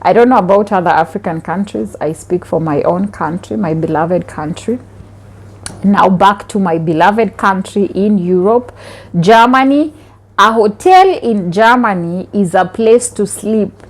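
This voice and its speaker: A young adult woman with a Kenyan accent, chatting casually to camera at home and laughing often. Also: mid-range voice. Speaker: vlogger. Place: home kitchen area.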